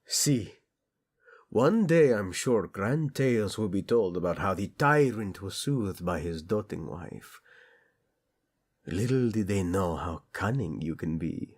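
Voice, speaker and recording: A man playing a doting, teasing tyrant prince in a romantic audio roleplay, flirtatious and tender with a husky delivery. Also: low voice, expressive, slow, heavily acted. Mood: playful, flirtatious.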